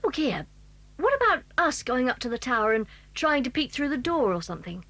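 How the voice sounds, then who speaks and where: A woman's voice: high voice, sing-song, a little fast, a bit bright, dry recording, full character voice. A British woman playing a keen, bold schoolboy in a children's audio drama. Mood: excited, curious.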